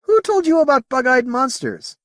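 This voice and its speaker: Man playing a chatty, animated young midshipman. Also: sits high, a little fast, dry recording, studio quality, pitched above the performer's own voice. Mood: surprised. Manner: informal, wry, chatty. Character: young male spaceship midshipman, easygoing and talkative.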